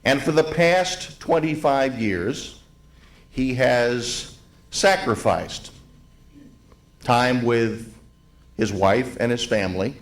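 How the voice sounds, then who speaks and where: A man delivering a ceremonial tribute to a live audience, speaking in deliberate, emphatic phrases with repetition. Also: mid-range voice. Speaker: event speaker, museum president giving opening remarks. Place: hotel grand ballroom event.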